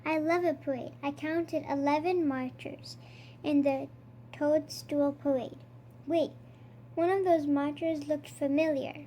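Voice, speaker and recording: High-pitched child reading a storybook aloud. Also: steady tone, unhurried, dark tone, a little hiss or hum. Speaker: storybook reader.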